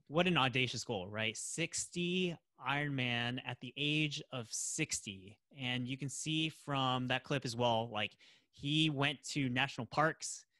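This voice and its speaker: A man's voice: medium pitch, takes it slowly, pushed and bright, no echo. A man hosting a podcast, talking casually and promotionally in an enthusiastic, emphatic manner. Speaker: podcast host.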